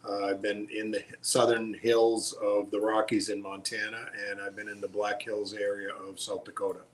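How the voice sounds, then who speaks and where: Low-voiced man, casual guest. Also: flat delivery, normal speed, low-quality recording. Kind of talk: casual conversation.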